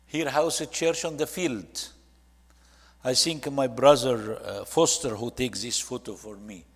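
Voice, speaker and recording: Egyptian guy giving a church slideshow talk, kinda slow and halting english, big echoey hall. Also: mid-range voice, steady tone, husky. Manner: halting, with short phrases and non-native English grammar. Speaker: church ministry leader presenting to a congregation. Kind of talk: presentation talk narrating photo slides.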